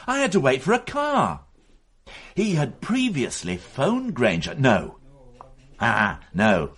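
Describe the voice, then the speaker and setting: A dogged radio drama detective, super swoopy pitch, bit husky, pushing his theory hard. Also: wildly swooping pitch, slow pace, a bit bright, exaggerated voice. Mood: excited, insistent. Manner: emphatic, insistent, reasoning aloud. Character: dogged male police detective, excitable, sure of his theory. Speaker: investigator. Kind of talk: radio mystery drama acting.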